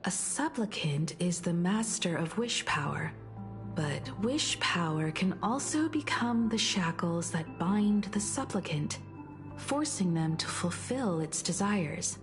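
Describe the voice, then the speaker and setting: An actress playing a calm, resolute grown daughter in a video game, speaking in a measured, thoughtful way. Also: female voice, lowish voice, music in the background. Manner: measured, solemn. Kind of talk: video game character acting.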